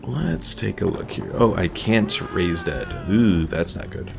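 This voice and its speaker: A man's voice: low voice, very animated, measured pace, gravelly. A man giving casual live commentary as a game streamer, chatty and thinking aloud. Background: game music.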